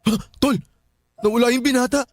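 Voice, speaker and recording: An actor playing a jittery young man who sees ghosts, excitable and anxious in a radio drama. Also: extremely high pitch, a little fast. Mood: alarmed. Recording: music bed behind.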